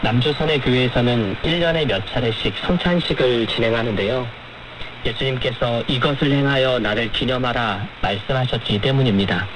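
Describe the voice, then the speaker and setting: A man's voice: mid-range voice, brisk. A man preaching a radio sermon as a pastor, speaking formally and earnestly in an explanatory way. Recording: noisy shortwave radio broadcast.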